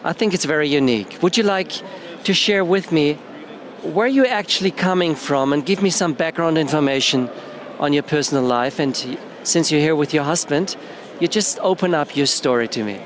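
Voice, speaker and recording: A man interviews a guest outdoors, inviting her to share her story in a gentle, encouraging tone. His voice is slightly high for a man, and faint traffic can be heard behind him. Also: normal speed. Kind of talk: interview questions.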